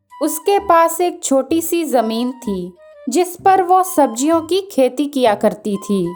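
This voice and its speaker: A woman reads a scripted story for children. Her voice is notably high and her pacing slow. Faint music accompanies her. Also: darkish tone. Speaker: narrator.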